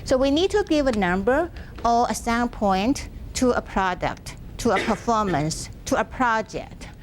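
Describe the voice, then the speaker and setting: A soft-spoken woman presenting a university workshop, speaking haltingly with restarts, seated in a classroom without a microphone. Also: fairly high pitch, expressive, slight background noise. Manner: halting, frequent restarts and fillers. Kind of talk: academic workshop lecture. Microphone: no microphone, room sound.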